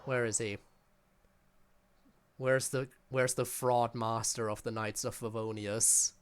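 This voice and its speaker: A man's voice: mid-range voice, little pitch movement, slow, a little hiss or hum. Blunt man streaming a game. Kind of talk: casual live commentary and reading game lines aloud.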